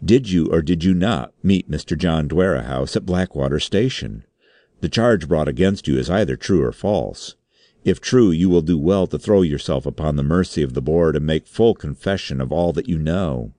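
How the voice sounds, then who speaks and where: A man reading as a stern, deep-voiced chairman. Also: animated, mellow, no room sound. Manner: formal, authoritative, measured. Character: stern, grave male board chairman and magistrate. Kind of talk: audiobook character acting.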